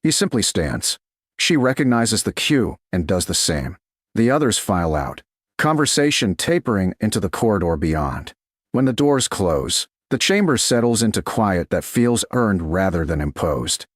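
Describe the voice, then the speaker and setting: A man reading a romance story in a slow, measured narration style. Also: fairly low pitch, sing-song, dry recording, clean studio sound.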